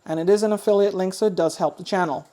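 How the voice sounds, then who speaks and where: A woman's voice: mid-range voice, fairly even intonation, quick pace, dark tone. A woman giving a casual, chatty product review, thinking aloud as she demonstrates. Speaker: host of a radio review channel.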